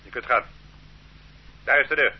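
Brief: condescending, threatening state interrogator, old radio play, muffled sound. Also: male voice, mid-range voice, fairly even intonation, moderate pace, husky. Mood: stern. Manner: bullying, condescending. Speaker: official interrogating a suspect. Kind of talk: radio drama acting. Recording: dull old radio recording with faint hum.

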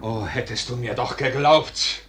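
An actor playing a hot-headed, alarmed officer in a classical German radio play, excitable and exclamatory. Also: male voice, mid-range voice, a little slow, lightly acted. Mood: agitated, reproachful. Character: hot-headed, alarmed officer urging his prince to act. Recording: clear background noise.

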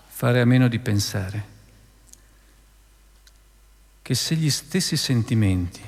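A man's voice: on the low side, vocal fry. A man narrating a historical account as radio theatre, in a theatrical, emphatic storytelling way. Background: music.

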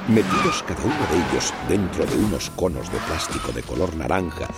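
A man narrating a children's storybook with expressive storytelling emphasis. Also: a bass voice, creaky. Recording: music playing under the voice.

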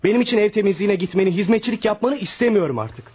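A troubled sixteen-year-old boy in a radio play. The voice is high for a male and husky, with an earnest, downcast way of protesting and a protective streak. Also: animated, racing, a little mellow. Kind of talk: scripted radio drama acting.

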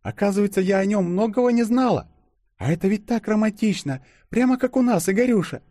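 A man's voice: sits high, expressive, brisk, warm tone, dry recording, studio quality, voice pushed up. A man voicing a sweet, doting young woman who coos and gushes romantically in an audiobook. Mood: gushing. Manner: cooing, gushing.

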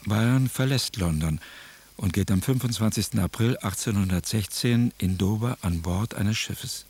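A sober male narrator speaks in a fairly low, husky voice. His delivery is detached and factual, scripted for a radio drama. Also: moderate pace, a little mellow. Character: sober documentary narrator, detached adult man.

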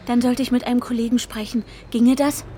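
An actress playing an anxious, determined woman in a radio drama, narrating intimately and urgently, often dropping to a hush. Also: a little high, fairly even intonation, a little fast, heavy background noise, natural voice. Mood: tense, determined. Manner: urgent, often dropping into a hushed near-whisper. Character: anxious, determined young woman searching for her missing partner.

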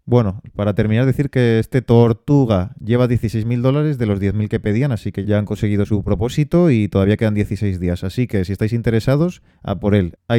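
A man with a Spanish accent from Spain, co-hosting a casual board game podcast and talking things through in a relaxed way, with fillers along the way. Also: on the low side, clean tone, no room sound, studio quality. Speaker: podcast co-host.

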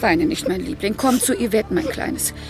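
A woman's voice: medium pitch, moderate pace, music in the background, close to an everyday voice. An actress playing a chatty, fussy, motherly caretaker in a radio play.

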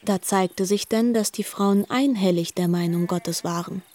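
A woman's voice: mid-range voice, measured pace, a little mellow. A woman reading scripted literary narration in a radio drama.

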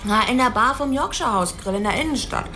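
A woman's voice: on the high side, expressive, fairly quick, bright, forceful tone, a little hiss or hum. An actress playing a brash young call girl in a radio play, streetwise and crude, talking in offhand slang. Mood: brash, frank. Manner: slangy, drawling, offhand frankness. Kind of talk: dramatic radio play dialogue.

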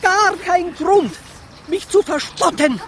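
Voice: very high voice, slow pace, a little hiss or hum, exaggerated voice. An actor playing a cranky, tipsy priest who preaches pompously in rhymed verse with a squawking voice. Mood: indignant. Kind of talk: rhymed comic radio play.

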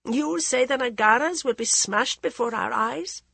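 A proud, desperate queen with a raised, strained voice , put on by a woman narrator. Her formal speech sounds gravelly and husky, recorded dry and close. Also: a little high, measured pace, a bit bright, dry recording, voice pushed up, heavily acted. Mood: pleading. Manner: pleading then defiant, formal speech.

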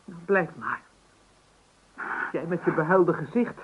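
A woman's voice: fairly low pitch, expressive, takes it slowly, a little hiss or hum. An actress playing a scornful, worried middle-aged mother who scolds and exclaims in a Dutch radio play. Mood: outraged, scolding. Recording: tinny old radio recording.

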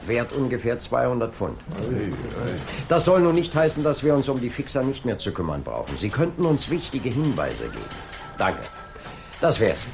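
A man's voice: mid-range voice, sing-song, normal speed, creaky, over music. A man playing a middle-aged police inspector in a 1980s German radio drama, authoritative and dryly sardonic. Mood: brisk, commanding. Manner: commanding, dry, sardonic. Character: brisk, authoritative male police inspector leading a murder case.

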